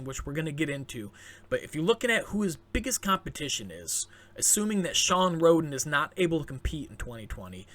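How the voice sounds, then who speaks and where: A man's voice: fairly high pitch, pushed and bright. A man hosting a fitness channel, giving chatty, enthusiastic commentary straight to his audience with plenty of fillers and restarts, his voice turning a little scratchy. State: voice going scratchy. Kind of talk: casual commentary to camera.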